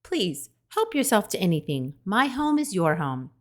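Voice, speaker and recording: A woman voicing a small, friendly female skunk who talks in a squeaky, eager, gushing way. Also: big pitch swings, moderate pace, close to an everyday voice. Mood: hospitable.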